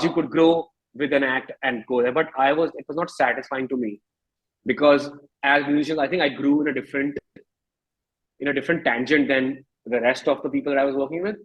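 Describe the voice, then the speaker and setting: A man in his thirties with an Indian accent, speaking candidly and reflectively as an interview guest, often halting and rephrasing his thoughts. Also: medium pitch, breathy edge, muffled recording. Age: 30s.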